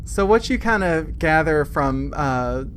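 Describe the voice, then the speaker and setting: A man narrating a tabletop game as game master, in a halting, informal way, piecing the scene together as he talks. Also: on the high side, lively intonation, a bit bright.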